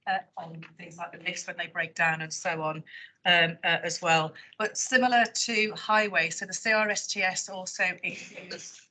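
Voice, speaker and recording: A woman's voice: lowish voice, steady tone, normal speed, pushed and bright, rough recording. A woman transport officer answering councillors at a formal committee meeting, hesitant and full of fillers.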